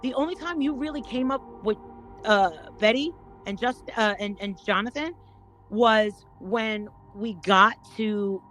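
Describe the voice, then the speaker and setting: A woman chatting casually as a panel guest, rambling and haltingly repeating her words as she goes. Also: fairly high pitch, a bit bright. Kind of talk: casual gossip chat.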